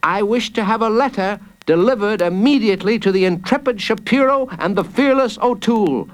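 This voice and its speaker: A man's voice: high-pitched, brisk, voice pushed up, close to an everyday voice. A man voicing a small, stubborn, bold young boy in an earnest storybook reading. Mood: bold bargaining. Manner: earnest, defiant, repeats himself.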